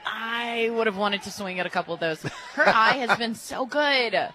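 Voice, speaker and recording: A woman giving color commentary on a live softball radio broadcast, chatty and quick to laugh. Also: a little high, some chatter behind, a little hiss or hum, poor audio. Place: stadium radio booth.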